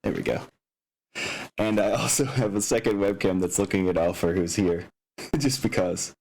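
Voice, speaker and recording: Low-voiced man running a tabletop game. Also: moderate pace, darkish tone. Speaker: game master and host. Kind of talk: casual explaining of a tabletop game session. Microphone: open microphone picking up room sounds.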